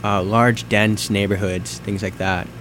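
A man's voice: lowish voice, flat delivery, a little slow, fairly bright tone. A young man in casual conversation, leading the chat and asking questions. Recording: noisy room with air-conditioning hum.

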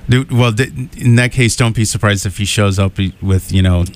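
A man's voice: fairly low pitch, normal speed, slight background noise. A man interviewing a group in a casual, informal way, chipping in with short reactions.

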